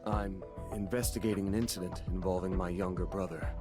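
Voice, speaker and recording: A man acting as a composed, courteous male guild master and elite hunter in a video game. The voice is low, formal and measured. Music is clearly audible behind him. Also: steady tone, lightly acted. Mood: earnest.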